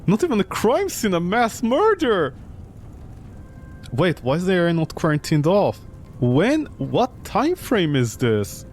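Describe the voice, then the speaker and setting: Sarcastic, animated male gaming streamer. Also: sits high, unhurried, soft background music. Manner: sarcastic, incredulous. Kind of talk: joking let's play commentary.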